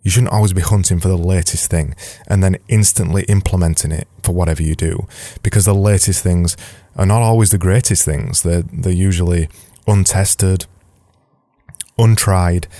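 A man's voice: low voice, fairly even intonation, moderate pace, warm tone, no echo. A man with a Yorkshire accent giving informal solo advice as a podcast host, emphatic and repeating his key points. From Yorkshire, England.

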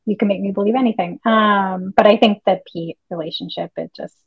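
A woman's voice: medium pitch, steady tone, a little fast, creaky, husky, no echo, dull audio. A woman co-hosting a casual fan discussion podcast, thinking aloud in long, analytical, wordy stretches. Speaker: podcast co-host.

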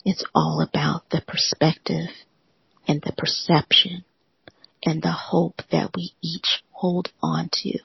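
A host of a live audio room giving a gentle, reassuring motivational talk in a soothing, often whispery voice. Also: medium pitch, flat delivery, takes it slowly, vocal fry, slightly rough, no echo, muffled recording.

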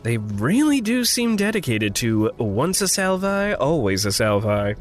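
A man acting in a naturalistic audio drama as a gentle, wry confidant with a halting, playful way of talking. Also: medium pitch, very animated.

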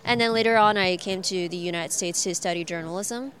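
A woman's voice: lowish voice, brisk, a bit bright. Young woman, from Hong Kong, interview guest, earnest, casual, filler-heavy. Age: young adult. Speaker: guest, activist.

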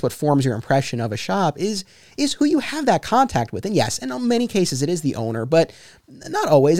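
A man's voice: on the high side, darkish tone, dry recording, clean studio sound. A man hosting a podcast, rambling a little with lots of fillers and restarts. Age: 30s. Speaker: podcast host. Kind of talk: casual interview chat.

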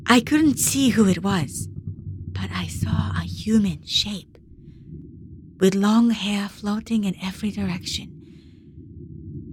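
An actress playing a wistful woman diver who recounts haunting memories in a measured, hushed, intimate audio drama monologue. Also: mid-range voice, a little hiss or hum, natural voice. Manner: measured, hushed, reflective storytelling.

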